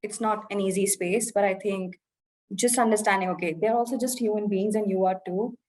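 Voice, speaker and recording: A young woman from India talks through her experience in a candid, reflective way, her Indian English accent now mixed with British notes. Her voice sits at a middling pitch, and she often pauses and restarts as she searches for the right words. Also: fairly even intonation, darkish tone. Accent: Indian English, softened by years in the UK. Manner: reflective, earnest, many fillers and restarts. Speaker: interview guest. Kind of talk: candid conversational interview.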